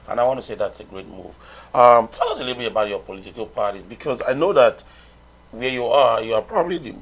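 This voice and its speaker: A man hosts a conversational TV interview. His voice sits fairly low, and he prompts his guest in an informal, admiring manner. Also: slightly rough, low-quality recording. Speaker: interview host. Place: TV studio, seated.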